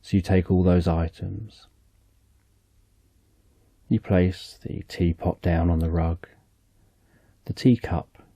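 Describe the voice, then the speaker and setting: A man narrating a slow, soothing guided relaxation story in the second person, speaking softly and gently. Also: low voice, no room sound.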